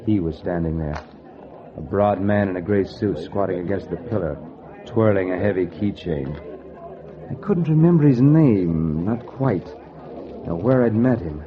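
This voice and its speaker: Low-voiced hard-boiled noir gambler, dull old recording. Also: male voice, animated, measured pace, crowd noise. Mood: wry, tense. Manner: clipped, wry, slangy noir delivery. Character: hard-boiled, cocky male gambler, broke and money-hungry. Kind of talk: 1940s radio noir acting, first-person narration. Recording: dull vintage radio recording with music. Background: music.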